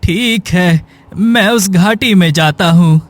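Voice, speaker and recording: A man's voice: sits high, slow, no echo. A man playing an ailing, hunchbacked poor man in a cartoon moral story, plaintive, melodramatic and despairing. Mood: weary, pained. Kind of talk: dramatic Hindi cartoon story dialogue.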